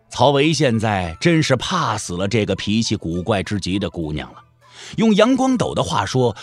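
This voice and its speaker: A man narrating a Chinese martial arts audio drama as an expressive storyteller, wry and colloquial in his delivery. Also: medium pitch, no echo.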